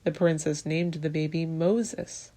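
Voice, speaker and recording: A woman reading a Bible story aloud to children in a deliberate way, posing questions to young listeners as she goes. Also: low-pitched, level tone, warm tone. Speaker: children's storytime reader at a church.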